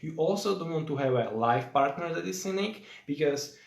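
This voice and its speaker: A man's voice: fairly high pitch, animated, moderate pace, creaky, reverberant. A young man from Slovakia with a Slovak accent, hosting a casual live Q&A in English, chatty and rambling with many fillers as he greets viewers by name. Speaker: host of a live stream.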